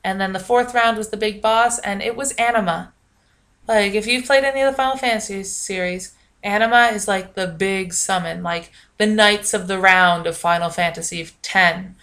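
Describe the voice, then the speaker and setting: A young adult woman talking casually to camera, rambling and self-mocking with many fillers, with a naturally loud, projecting voice. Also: mid-range voice, fairly even intonation, normal speed. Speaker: vlogger. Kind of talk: casual vlog chat.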